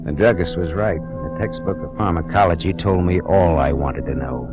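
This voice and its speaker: Brief: man playing a wisecracking gumshoe, low and dry, vintage radio with music. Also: normal speed, lightly acted. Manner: dry, wry, sardonic delivery. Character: hard-boiled wisecracking private detective, man. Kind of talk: 1940s radio drama, first-person narration. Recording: dull old radio broadcast with music bed.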